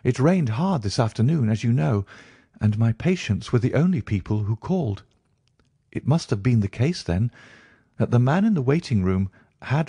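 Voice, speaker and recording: An earnest Victorian doctor, voiced by a male reader, polite and troubled, who speaks in precise, formal phrases. The pitch is lifted a little above a low male register, and the sound is dry and close. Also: mellow, husky, dry recording. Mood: puzzled, earnest. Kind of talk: audiobook character acting.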